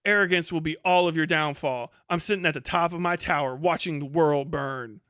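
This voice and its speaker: A man with a high, clean and smooth voice, recorded dry and close. He hosts a livestream in a casual reaction style, blunt and mocking, with plenty of swearing. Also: a little fast, a bit bright, no room sound. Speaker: livestream host. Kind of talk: casual live reaction commentary, reading posts aloud.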